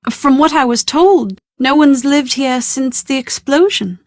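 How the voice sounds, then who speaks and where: An anxious young British bride-to-be in a mystery video game. Her voice sits fairly high, and she speaks with genteel, articulate earnestness and a touch of drama. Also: unhurried, dry recording, studio quality. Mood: polite, earnest. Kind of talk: scripted video game voice acting.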